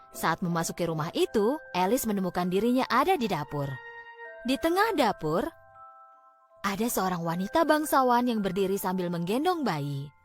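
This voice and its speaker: A woman narrating a scripted fairy tale for children, expressively and with deliberate phrasing. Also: mid-range voice. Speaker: storyteller. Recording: background music.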